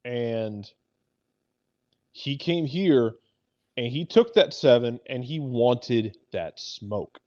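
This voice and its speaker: A man's voice: medium pitch, lively intonation, drawn-out words, pauses often, darkish tone, no room sound. A man giving casual sports analysis as a pundit, making his points with repeated phrases for emphasis. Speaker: soccer pundit on a talk show. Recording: slightly dull, lo-fi audio.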